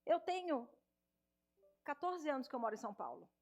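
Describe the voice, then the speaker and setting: A woman in her thirties with a Minas Gerais accent, a speech therapist giving an animated stage talk straight to the audience in an auditorium. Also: sits high, brisk, dull audio, soft background music. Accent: Minas Gerais Portuguese. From Minas Gerais, Brazil. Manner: warm, animated, addresses audience directly.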